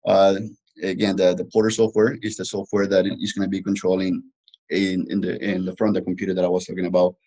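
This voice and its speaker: A man presenting an informal technical webinar as a product trainer, explaining haltingly with frequent restarts and repetitions. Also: low voice, little pitch movement, mellow, low-quality recording.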